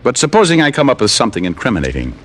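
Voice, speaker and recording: A man's voice: mid-range voice, huge pitch swings, rapid-fire, a bit bright. A man as a wisecracking private detective who narrates and talks in a 1950s radio drama. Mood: wry. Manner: wry, wisecracking, hard-boiled. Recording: vintage radio broadcast with music.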